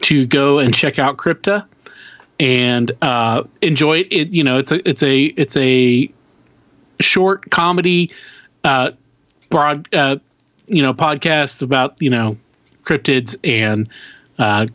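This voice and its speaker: A man chats casually as a podcast co-host, feeling his way through sentences in loose, informal language. His voice sits at an average male pitch. The recording is very dry and a little dull, with no room sound. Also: fairly bright tone, no echo. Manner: halting, informal slacker speech with dude and man.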